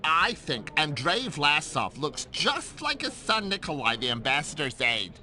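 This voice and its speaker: A man's voice: fairly high pitch, sing-song, a little slow, over loud music. An actor playing a chatty, genial male socialite at an embassy party in a video game. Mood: sociable. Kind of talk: video game cutscene acting.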